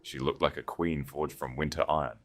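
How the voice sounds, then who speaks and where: Deep-voiced male story narrator. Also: fairly even intonation, a little fast. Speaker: audiobook narrator. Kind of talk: scripted dramatic story narration. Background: faint music.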